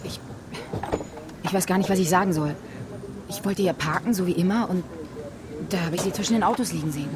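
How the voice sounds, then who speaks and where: An actress playing a shaken, talkative female café worker in a naturalistic radio crime drama, halting and chatty by turns. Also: fairly low pitch, noisy background, lightly acted.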